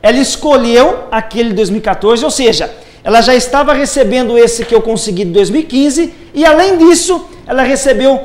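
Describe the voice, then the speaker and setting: A man's voice: extremely high pitch, lively intonation, a little fast. A man working as a social security lawyer, methodically explaining pension rules step by step on a live TV program. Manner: methodical, lays out cases step by step.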